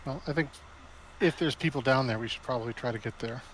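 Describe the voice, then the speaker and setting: A man's voice: mid-range voice, fast talker, noisy background. A man playing a tabletop roleplaying game, talking casually with the others.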